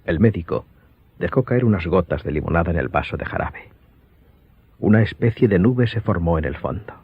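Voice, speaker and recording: A man giving scripted, dramatic audiobook narration in a husky voice. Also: deep voice, expressive, normal speed. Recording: dull lo-fi sound.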